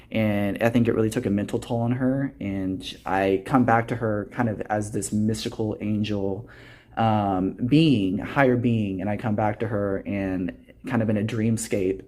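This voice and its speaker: A man's voice: lowish voice, darkish tone. A teenage young man, a student actor, answering interview questions earnestly and reflectively in a conversational way. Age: teens, high school senior.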